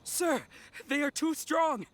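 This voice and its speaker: A man's voice: very high voice, animated, slow, a bit bright, slight background noise, full character voice. A man playing a frightened, obedient junior soldier in a video game, stammering and deferential. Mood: panicked, cowed.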